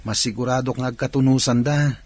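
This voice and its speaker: A man's voice: mid-range voice, fairly even intonation, a little fast, a little mellow, natural voice. A man acting in a radio comedy drama as a confident, gossipy sidekick.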